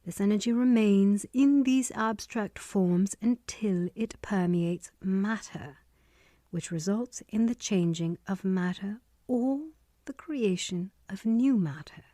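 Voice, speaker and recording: Slow, soft-spoken woman reading aloud. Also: mid-range voice, no echo, studio quality. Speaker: reader. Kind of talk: reading a spiritual text aloud.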